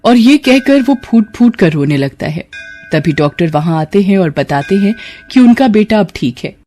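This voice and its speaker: Female storyteller, mid-range pitch, scripted narration with faint music underneath. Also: lively intonation, moderate pace, mellow.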